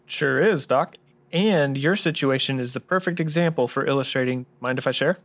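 A man's voice: medium pitch, moderate pace, close to an everyday voice. A man playing an earnest, polite tax expert in a scripted noir radio drama, speaking precisely and courteously. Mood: matter-of-fact. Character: earnest by-the-book male tax expert, polite detective type.

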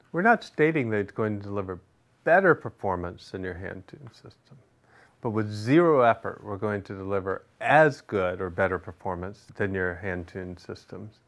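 A man's voice: mid-range voice, muffled recording. Middle-aged man presenting a product walkthrough. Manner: steady, explanatory presenter, with a rhetorical "right?" between points. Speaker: product management executive presenting. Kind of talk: scripted product explainer. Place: studio with large screen behind.

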